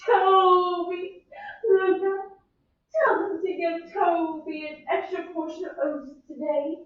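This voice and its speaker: A woman's voice: extremely high pitch, fairly even intonation, slow pace, voice pushed up. A woman voicing a melodramatic young widow in mourning, with a Southern accent and a theatrical, sighing delivery. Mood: tearful. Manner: theatrical, sighing, swings to wailing. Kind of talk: amateur play reading. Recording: echoey room, far from mic, dull.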